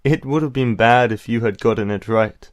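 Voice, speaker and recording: A man playing a cool, eloquent teenage older brother in an amateur audio drama, speaking in a calm, deliberate way. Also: mid-range voice, lightly acted. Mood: knowing.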